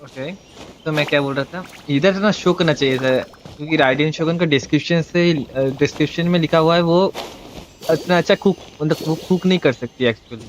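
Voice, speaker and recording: An Indian-accented man streams a game with casual live commentary. His voice sits a little high and he talks extremely fast, over faint background noise. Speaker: game livestreamer.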